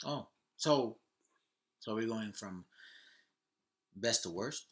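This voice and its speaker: A man's voice: fairly low pitch, expressive, slow pace. A man chatting casually as a podcast co-host, informal and sweary, often repeating himself. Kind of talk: casual chat about movies.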